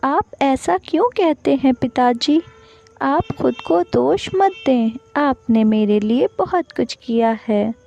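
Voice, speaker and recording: A woman's voice: sits high, expressive, slow, vocal fry, mellow, muffled recording, pitched above the performer's own voice, heavily acted. A woman voicing a devoted young female bird, earnest and plaintive, in a cartoon story. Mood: loving.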